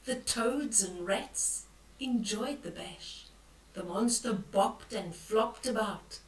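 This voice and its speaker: An older woman reading a picture book aloud to children, expressive and dramatic, with hushed whispers and exclamations as she comments on the pictures. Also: mid-range voice, slow. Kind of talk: bedtime read-aloud with asides on the pictures. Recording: roomy echo, distant from the mic.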